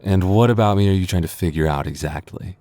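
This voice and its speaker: A man's voice: sits low, fast, mellow, dry recording, close to an everyday voice. A man playing a flustered, lovestruck young man in a boyfriend roleplay audio, stammering and bashful. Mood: teasing. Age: young adult. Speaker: voice actor.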